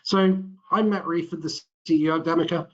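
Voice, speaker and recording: A man's voice: on the high side, fairly even intonation, quick pace, a bit bright. Muffled middle-aged man presenting on a webinar. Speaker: company chief executive and webinar presenter.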